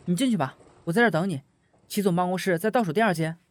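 A man's voice: sits high, fast, no room sound, close to an everyday voice. A man playing a chatty, playful young office worker in an audio drama, teasing and eager. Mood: eager, insistent.

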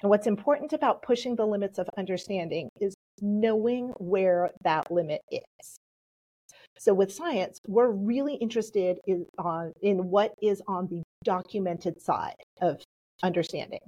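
A woman whose first language was Polish speaks in a measured, explanatory way as a podcast guest. Her voice sits at a middle pitch for a woman. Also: warm tone, low-quality recording. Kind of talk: relaxed interview answers.

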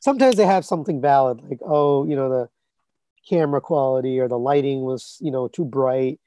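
A man's voice: medium pitch, brisk, dark tone, dry recording. A man in his thirties or forties with a scratchy voice, chatting casually on a podcast in a rambling way full of fillers and restarts. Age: 30s to 40s. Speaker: guest, collectibles reviewer.

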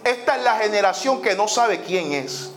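A man's voice: sits high, lively intonation, moderate pace, a bit bright. A man preaching a sermon, emphatic, repeating phrases and dropping in the English word "so". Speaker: pastor. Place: church sanctuary.

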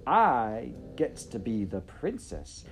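A man voicing a loud, dim-witted, eager serving girl from a storybook, with uneducated rustic grammar and blurted exclamations. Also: medium pitch, wildly swooping pitch, slow pace, a little hiss or hum, heavily acted. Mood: gleeful. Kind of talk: storybook character voice in audiobook narration.

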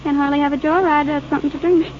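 High-pitched reckless teenage girl, played by an actress, old radio. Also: fairly even intonation, racing, lightly acted. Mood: taunting. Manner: slangy, goading, needling. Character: reckless, thrill-hungry teenage girl robber, taunting and cold. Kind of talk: 1950s radio drama acting. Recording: muffled, noisy old radio recording.

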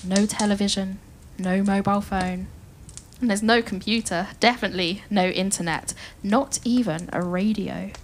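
A woman's voice: mid-range voice, steady tone, moderate pace, a little hiss or hum, lightly acted. A woman playing an enthusiastic storyteller in a family audio play, inviting listeners into an adventure with a sense of wonder. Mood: gentle, inviting.

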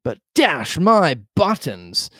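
A man's voice: on the high side, wildly swooping pitch, takes it slowly, a bit bright, husky, no room sound, studio quality, heavily acted. A man voicing a jovial, wily old one-legged ship's cook with emphatic, rustic nautical speech in a storybook reading. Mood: hearty, blustering.

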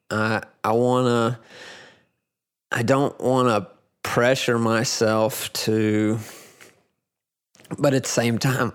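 A man in his forties chatting casually as a podcast guest, talking in halting, rambling stretches full of restarts. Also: fairly low pitch. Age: 40s. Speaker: podcast guest, grappling competitor. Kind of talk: casual chat.

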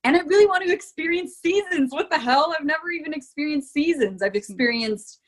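A woman's voice: high voice, lively intonation, a little fast, rough recording. Bubbly young woman from Florida. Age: young adult. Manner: bubbly, chatty, laughs often. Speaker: guest. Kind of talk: casual podcast interview.

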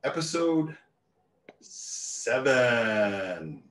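A man's voice: mid-range voice, very animated, drawn-out words, a bit bright, far from the mic. Male podcast host from Newfoundland, easygoing, laughs a lot, echoey room, set back from the mic. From Newfoundland, Canada. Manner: laughs often, loose and joking. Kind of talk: casual chat. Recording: roomy sound, a bit off mic.

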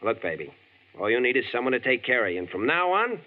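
An actor playing a breezy, persuasive truck driver in a 1940s radio drama, slangy and easygoing. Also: male voice, medium pitch, very animated, fast talker, close to an everyday voice. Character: smooth-talking male truck driver, Hal. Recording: tinny old radio, clear background hiss.

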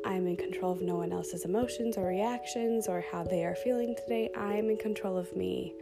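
A woman hosting a podcast, talking softly through a calm guided self-talk session, her voice scratchy from a sore throat. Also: mid-range voice, brisk, vocal fry, loud music behind the voice. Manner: soft-spoken, chatty with fillers. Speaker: podcast host. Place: dark room at home under blankets.

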